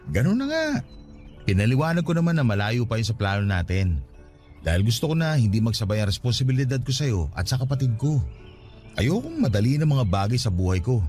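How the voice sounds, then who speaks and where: Actor as an earnest young Filipino man, lively intonation. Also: medium pitch, brisk, faint music behind, lightly acted. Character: earnest, responsible young man worried about his younger sister. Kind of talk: Filipino radio drama dialogue.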